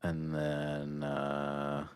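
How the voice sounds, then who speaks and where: Very deep-voiced man in casual chat. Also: monotone, extremely slow, clear, resonant tone, no echo, clean studio sound. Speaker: podcast co-host.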